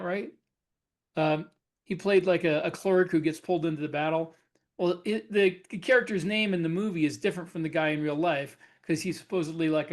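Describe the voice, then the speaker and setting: A man co-hosting a podcast, talking hesitantly and searching for his words. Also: sits high. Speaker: podcast co-host. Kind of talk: casual chat.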